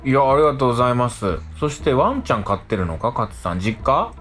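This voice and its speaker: A man co-hosting a radio show, chatting casually and colloquially, quick to agree and react. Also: medium pitch, expressive, fairly bright tone, soft background music.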